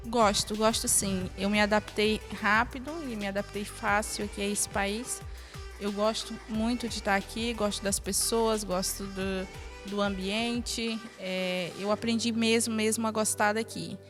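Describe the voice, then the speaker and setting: A woman's voice: medium pitch, fairly even intonation, measured pace, music in the background. A Brazilian-accented woman in her early thirties, an interview guest telling personal stories casually. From northern Brazil. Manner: chuckles often while talking.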